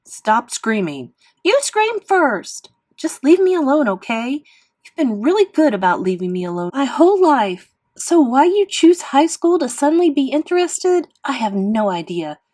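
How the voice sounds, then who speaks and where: A woman's voice: mid-range voice, lively intonation, unhurried, few pauses. A woman voicing a defiant, irritated teenage big sister in an audiobook, snappish and accusing.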